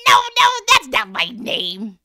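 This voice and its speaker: A woman voicing a tiny, angry magical little man with a squeaky, taunting, drawn-out voice in a storybook tale. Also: wildly swooping pitch, bright, forceful tone, dry recording, exaggerated voice.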